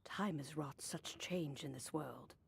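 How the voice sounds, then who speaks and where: A woman voicing a gentle, elderly female immortal who speaks in a gracious, unhurried, courteous way. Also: low-pitched, creaky, breathy edge, heavily acted. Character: gentle elderly female adeptus, kind hostess.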